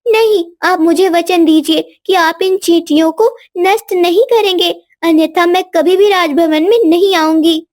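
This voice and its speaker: A woman's voice: very high-pitched, unhurried, darkish tone. A woman voicing a stubborn, loving young princess who speaks earnestly and insistently in a cartoon story. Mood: defiant, pleading. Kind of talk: Hindi cartoon moral story dialogue.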